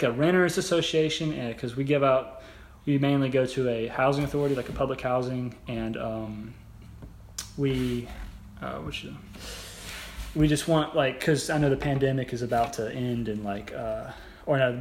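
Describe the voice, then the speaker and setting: A young adult man co-hosting a podcast in casual, halting, rambling, informal talk. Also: medium pitch. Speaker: podcast co-host. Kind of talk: casual conversational podcast chat. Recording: echoey room, background noise.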